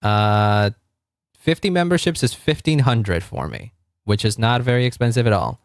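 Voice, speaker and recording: A man from Argentina streaming casually, rambling, correcting himself and chuckling as he reads chat, with a deep voice. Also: expressive, normal speed, dry recording, studio quality.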